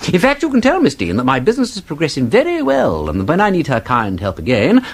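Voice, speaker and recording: An actor playing a shrewd, suave gentleman detective in a radio mystery, urbane and drily polite as he probes. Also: fairly low pitch, slightly rough, heavily acted. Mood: coolly sardonic. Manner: urbane, probing, drily polite, feigning casualness.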